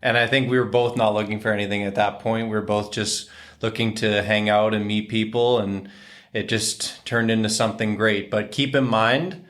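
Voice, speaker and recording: A man in his twenties co-hosting a casual couple Q&A vlog, relaxed and joking, speaking into a desk microphone in a small room. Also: fairly low pitch, normal speed. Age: 20s. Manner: relaxed, joking, matter-of-fact. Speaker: co-host, hockey player. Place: small bright room indoors.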